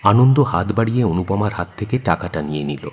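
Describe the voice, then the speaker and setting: Low, husky male story narrator. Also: lively intonation, a little fast. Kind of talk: scripted dramatic story narration.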